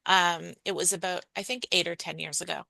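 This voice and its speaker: A woman author chatting candidly and quickly in an interview about how she became a writer. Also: lowish voice, fairly even intonation, gravelly, sharp tone, dry recording. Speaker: author guest. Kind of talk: casual interview conversation.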